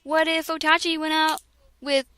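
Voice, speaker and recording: A woman's voice: high-pitched, little pitch movement, moderate pace, pushed and bright. A young woman reading a fan fiction aloud for laughs, breaking off into jokes and mock-dramatic voices. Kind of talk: comedic dramatic reading with chat.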